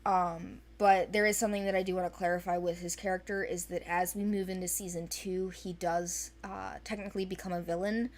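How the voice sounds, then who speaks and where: A woman talking casually and off the cuff as the creator of an animated series briefing people who want to audition. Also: fairly low pitch, fairly quick, hardly any pauses. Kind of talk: casual unscripted discussion.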